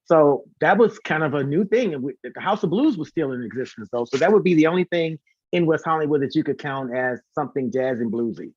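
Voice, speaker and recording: A man's voice: on the high side, a little fast, darkish tone, dull audio, a little hiss or hum. A man chatting casually and informally as an interview guest, talkative and prone to repeating words for emphasis. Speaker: guest, singer and concert organizer.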